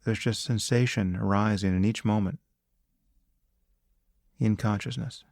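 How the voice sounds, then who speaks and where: A man leading a guided meditation as a teacher, speaking calmly and deliberately in short phrases with long pauses. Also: fairly low pitch, vocal fry, no echo, studio quality. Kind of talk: guided meditation, spoken instruction.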